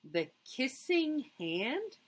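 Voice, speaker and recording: Young storybook raccoon boy, acted by a woman: high, lively pitch, childlike, curious, pleading. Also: extremely slow, breathy edge, exaggerated voice. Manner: pleading, wide-eyed questioning.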